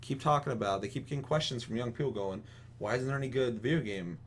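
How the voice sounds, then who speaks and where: A man's voice: mid-range voice, fast. Guy on a gaming podcast, rambles and swears a lot, humming noisy recording. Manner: profane, emphatic rambling. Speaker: podcast host. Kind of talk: casual opinionated chat. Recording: clearly audible hum and noise.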